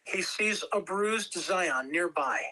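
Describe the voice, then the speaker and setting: A woman's voice: on the low side, expressive, slow, bright, forceful tone, rough recording. A woman reading scripted narration at a table read, telling the action in a dramatic storytelling way. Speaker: script reader.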